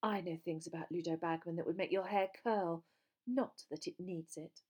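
A woman's voice: fairly low pitch, fairly even intonation, normal speed, darkish tone, lightly acted. A woman voicing a smug, cold-hearted female tabloid reporter in a gushing, condescending way for a story reading.

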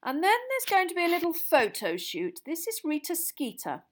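A woman voicing a boisterous, jolly middle-aged male official who talks loudly and heartily. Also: very high voice, wildly swooping pitch, moderate pace, pitched above the performer's own voice, heavily acted. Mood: jovial. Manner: loud, hearty, exclaiming.

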